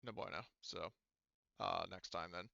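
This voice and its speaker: A man's voice: deep voice, fairly even intonation, unhurried, gravelly, lo-fi sound, noisy background. A man narrating a tabletop game as game master, explaining things in a casual, rambling, conversational way.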